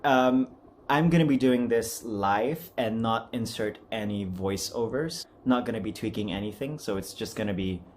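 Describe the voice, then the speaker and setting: Low-pitched man, rambling casual vlogger. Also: moderate pace, slight background noise. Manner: rambling, self-deprecating, apologetic. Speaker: amateur voice actor and video host. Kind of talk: casual unscripted vlog chat.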